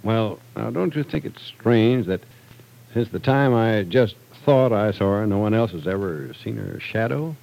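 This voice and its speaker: An actor playing a considerate middle-aged husband, earnest and hesitant, in 1940s radio soap style. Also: male voice, lowish voice, lively intonation, measured pace, creaky, a little mellow, poor audio. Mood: earnest, probing.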